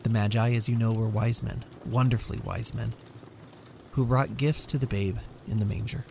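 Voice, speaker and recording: Male story reader, lowish pitch, gravelly fry, measured theatrical narration, slight background noise. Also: darkish tone. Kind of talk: dramatic scripted narration.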